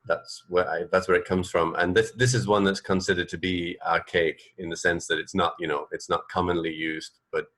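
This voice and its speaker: A man's voice: low-pitched, flat delivery, a little fast, hardly any pauses, fairly bright tone. A man co-hosting a radio show in casual chat, explaining things in a rambling, hesitant way.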